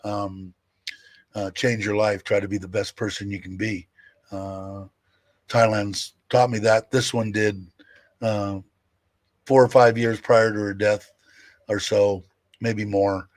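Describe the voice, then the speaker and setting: Man, 60s, low voice, dry close mic, rambling candid livestream chat. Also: level tone, slow, pauses often, no room sound. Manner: rambling, candid, with fillers and laughs. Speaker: livestream host. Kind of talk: casual livestream chat, reading viewer comments.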